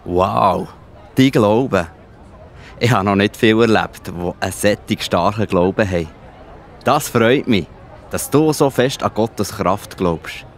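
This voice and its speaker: Gentle male healer for a kids' audio play, played by a man: lowish voice, encouraging, faint crowd behind. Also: takes it slowly, a little hiss or hum. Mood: impressed, encouraging. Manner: kindly, encouraging. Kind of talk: children's audio play acting.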